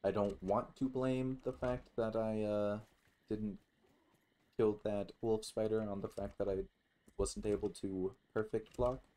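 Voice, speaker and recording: A man giving casual, halting gameplay commentary as host, with some offhand swearing. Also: on the low side, little pitch movement, mellow. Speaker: gaming video host.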